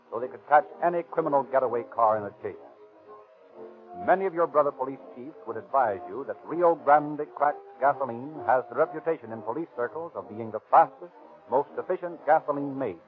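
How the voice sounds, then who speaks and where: Tinny 1930s American radio announcer, male. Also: mid-range voice. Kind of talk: 1930s radio scripted sponsor read and narration.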